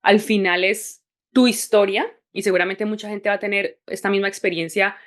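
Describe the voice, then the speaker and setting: A woman's voice: mid-range voice, little pitch movement, normal speed. A woman hosting a podcast, introducing her guest in an upbeat, encouraging way. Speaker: podcast host.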